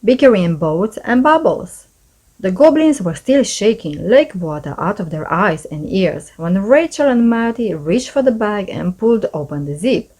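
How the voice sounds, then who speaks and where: A woman reading a children's storybook aloud as scripted narration, in an expressive storyteller's manner. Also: mid-range voice, a little slow, poor audio. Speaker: storybook narrator, channel host.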